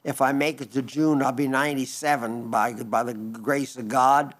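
A man in his nineties giving a broadcast sermon, reading listener letters in a gentle, rambling way with frequent restarts. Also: mid-range voice, steady tone, moderate pace. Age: 90s. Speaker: radio preacher.

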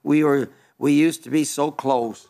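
A man in his nineties giving a broadcast sermon, reading listener letters in a gentle, rambling way with frequent restarts. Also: a little high, steady tone, measured pace, creaky. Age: 90s. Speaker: radio preacher.

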